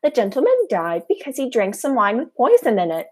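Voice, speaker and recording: A teenage woman playing a sweet, unflappable elderly aunt, genteel and gently scolding, in a high school radio play. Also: sing-song, a little fast. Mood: prim, unconcerned.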